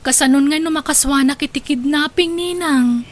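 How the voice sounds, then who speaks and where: Woman acting a kindly, higher-pitched restaurant boss. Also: moderate pace, close to an everyday voice. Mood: agreeable. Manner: reassuring, questioning. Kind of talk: Ilocano radio drama acting.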